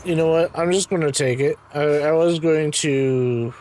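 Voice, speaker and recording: Raspy-voiced man narrating his gameplay. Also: fairly high pitch, unhurried, darkish tone. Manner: muttering to himself while playing, frequent ums and throat-clearing. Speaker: game streamer. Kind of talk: casual gameplay commentary.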